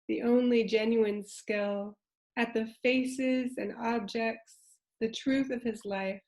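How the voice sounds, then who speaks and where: A woman on a translation prize committee, talking in a slow, reflective way with many pauses and fillers in a roundtable discussion. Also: a little high, darkish tone. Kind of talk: roundtable discussion, reading poetry aloud.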